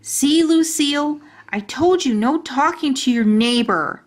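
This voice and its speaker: Woman voicing a blunt, slow-talking little kindergarten girl. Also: high-pitched, pitched above the performer's own voice. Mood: bossy, annoyed. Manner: childlike grammar, emphatic, blurting. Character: indignant, blunt kindergarten girl narrating her school day.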